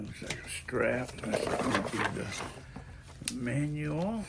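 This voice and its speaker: A man's voice: mid-range voice, gravelly. A man giving a casual, unscripted product demonstration, thinking aloud in a folksy way as he looks things over. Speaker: product reviewer showing gadget on video. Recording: faint background noise.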